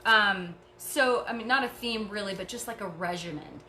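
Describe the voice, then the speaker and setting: A woman's voice: mid-range voice, normal speed, sharp tone, a little hiss or hum. A middle-aged woman hosting a craft tutorial, chatty and encouraging as she works, sounding tired. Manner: chatty and encouraging, talks while working. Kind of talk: casual craft demonstration.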